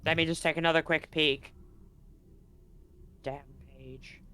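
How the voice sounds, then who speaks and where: A man's voice: fairly high pitch, little pitch movement, unhurried, pushed and bright, clear, resonant tone. A man speaking casually and with some swearing as game master of a tabletop role-playing game. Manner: casual, profane.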